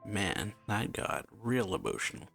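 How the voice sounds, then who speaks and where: A woman with a very deep, gravelly voice reacts casually to a game. She is laid-back and unhurried, murmuring short comments while music plays clearly behind her. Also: very animated. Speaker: reaction channel host.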